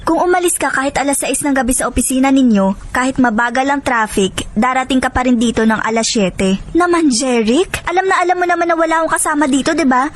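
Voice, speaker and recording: A woman's voice: high-pitched, fast, hardly any pauses, slight background noise, close to an everyday voice. A woman playing an emotional, anxious pregnant wife in a Tagalog radio drama, reproachful and hurt.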